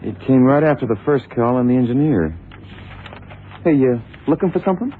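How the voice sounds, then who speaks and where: An actor in a vintage radio drama playing an eager, easygoing detective's sidekick with a colloquial, folksy manner. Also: male voice, mid-range voice, expressive, brisk, close to an everyday voice. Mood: obliging. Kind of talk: 1960s-70s radio drama acting. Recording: tinny, noisy old radio broadcast.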